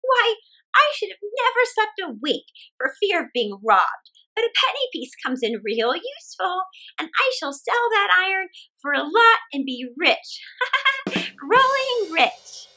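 Woman doing an excitable, very high old country woman. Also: a little slow, full character voice, low-quality recording. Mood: surprised, cheerful. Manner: gleeful, exclaiming, giggly. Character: cheerful, excitable poor old country woman, ever optimistic. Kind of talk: children's storybook character voice.

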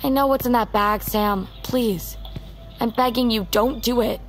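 A woman's voice: on the high side, measured pace, soft background music, lightly acted. An actress playing a headstrong, pleading young American woman, stubborn and wounded, in a naturalistic audio drama. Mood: anxious, pleading. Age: young adult.

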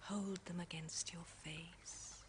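A slow, sinister, whispering temptress. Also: female voice, on the low side, fairly even intonation. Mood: hushed, hypnotic. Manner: slow, breathy, mocking, often whispering. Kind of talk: radio horror drama acting. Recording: noisy 1970s radio play tape.

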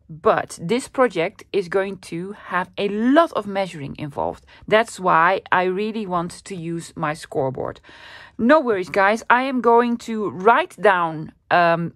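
A woman from the Netherlands with a Dutch accent, hosting a casual, chatty paper craft tutorial at her desk and often pausing with fillers. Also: mid-range voice, no room sound, studio quality. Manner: chatty, self-deprecating, with frequent fillers. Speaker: craft channel host.

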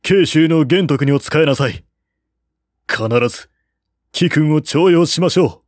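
A man's voice: fairly high pitch, measured pace, darkish tone, no echo, clean studio sound, pitched above the performer's own voice, natural voice. A man voicing an earnest, loyal royal advisor in an audiobook, polite, formal and persuasive. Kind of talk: audiobook character voice.

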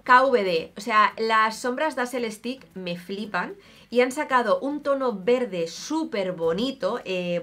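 A woman's voice: mid-range voice, fairly bright tone. A woman in her thirties with an accent from Spain, chatting casually and expressively to camera as an opinionated beauty vlogger. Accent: Spanish from Spain. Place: small home room, indoors.

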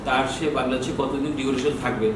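A man's voice: mid-range voice, steady tone, quick pace. A Bangladeshi man in his sixties explaining procedures in an informal lecture, often posing questions and answering them himself. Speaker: customs bond official giving training. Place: small office with bookshelves, indoors. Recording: very echoey, distant mic, noisy room.

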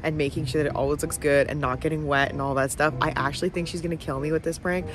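A woman's voice: sits low, fairly even intonation, fast talker. Playful young woman in a car. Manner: playful, teasing, laughing often. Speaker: vlogger pulling a prank. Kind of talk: casual chat to camera. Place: inside a car at a car wash. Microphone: camera on the dashboard.

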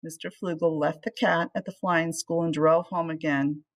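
A middle-aged woman reading a children's storybook aloud in an animated way, speaking to young listeners as a teacher would. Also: sits low, moderate pace. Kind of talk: expressive children's storybook read-aloud with asides.

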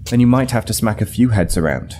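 An actor playing a weary but resolute military starship captain in an audio drama, measured, formal and authoritative. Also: male voice, fairly low pitch, expressive, mellow, a little hiss or hum, lightly acted. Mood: grave, earnest.

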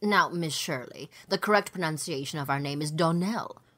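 A woman's voice: low-pitched, fairly quick, a bit bright, voice pushed down. A woman voicing a haughty, affected society matron with a pompous, insistent manner in an audiobook. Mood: haughty, indignant. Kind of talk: audiobook character dialogue.

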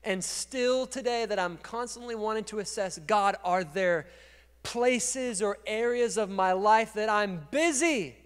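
A man in his thirties preaching a sermon as pastor on a church stage, speaking into a handheld mic and swinging from quiet to loud. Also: high voice, unhurried, clean tone. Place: large dark church stage. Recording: long reverb of a large church hall.

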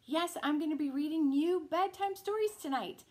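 A middle-aged woman reading a picture book aloud as a children's storytime host, with a deliberate, singsong delivery. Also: high voice, a little mellow. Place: small room at home, indoors. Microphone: camera a few feet away.

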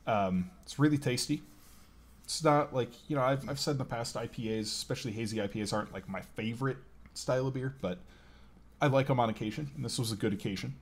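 A man's voice: mid-range voice, fast. A man co-hosting a podcast, chatting casually in an easygoing, hesitant way. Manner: easygoing, hesitant, many ums and uhs. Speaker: podcast co-host. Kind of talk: casual chat. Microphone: video call.

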